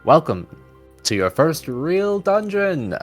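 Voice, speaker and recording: A man's voice: a little high, big pitch swings, takes it slowly. A man running a tabletop fantasy game as game master, describing scenes in a conversational way. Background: music.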